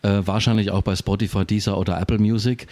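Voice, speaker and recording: A man's voice: fairly low pitch, little pitch movement, fast talker, darkish tone. A man hosting a radio interview, friendly and affirming. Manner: friendly, affirming with short responses. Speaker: radio host. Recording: radio broadcast.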